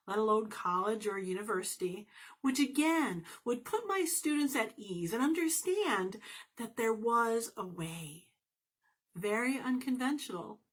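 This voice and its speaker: A woman's voice: a little high, a bit bright. A woman and former math teacher giving an earnest, encouraging motivational talk to her viewers, with playful asides.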